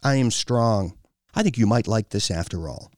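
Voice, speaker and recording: A man's voice: on the low side, animated, normal speed, a little mellow, no room sound, studio quality, lightly acted. A man voicing a stern, domineering foreign woman in an audiobook, with a commanding, clipped and scornful delivery. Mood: indignant, proud. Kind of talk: audiobook character dialogue.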